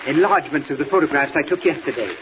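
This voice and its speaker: An actor playing a fussy, genteel photographer with hesitant, old-fashioned proper speech in a 1940s radio serial. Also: male voice, fairly high pitch, very fast, lightly acted. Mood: fussy, calm. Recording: muffled, noisy 1940s radio recording.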